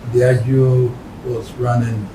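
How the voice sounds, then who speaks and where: A man's voice: flat delivery, slow pace. A man giving a formal spoken response to visitors. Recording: echoey room with loud rumbling noise.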